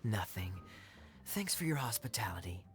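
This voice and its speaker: A woman's voice: rumbling low, big pitch swings, normal speed, music in the background. A woman voicing a sly, easygoing tavern regular in an anime-style game, with a playful, drawling, teasing manner. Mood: amused, sly.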